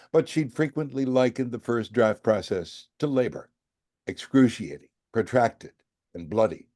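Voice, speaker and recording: An older American man with a very low, gravelly voice reads a novel aloud. His tone is dark and mellow, and he phrases each line with measured, dramatic emphasis like an audiobook narrator. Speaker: voice actor and storyteller reading aloud. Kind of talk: expressive audiobook-style literary narration.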